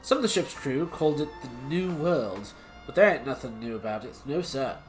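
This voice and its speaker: A streamer voicing a rough male monster hunter in an Australian accent, with a folksy, slangy drawl. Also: mid-range voice, expressive, normal speed, faint music behind, natural voice, poor audio. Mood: easygoing. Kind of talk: reading game dialogue aloud on stream. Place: home streaming setup.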